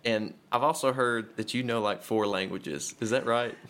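A man's voice: medium pitch, moderate pace, a bit bright. A young adult man from Memphis, hosting a podcast in a chatty, joking way. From Memphis, USA. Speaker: podcast host. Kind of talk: casual podcast chat.

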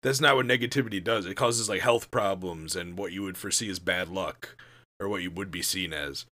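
A man in casual chat, thinking out loud about the stories. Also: sits low, a little fast.

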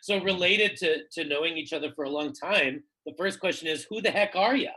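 A podcast host asking questions in a playful, laughing, animated way. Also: mid-range voice, fairly quick, poor audio. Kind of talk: casual conversational interview.

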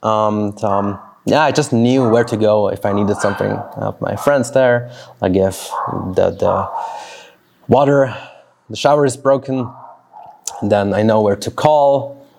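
Swiss guy in his 30s vlogging outside, slow thoughtful talk, lots of pauses. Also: lowish voice, vocal fry, noisy background. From Switzerland. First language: Swiss German. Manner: reflective, talks slowly with pauses and restarts. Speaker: fitness coach. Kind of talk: personal vlog monologue. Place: outdoors near a road.

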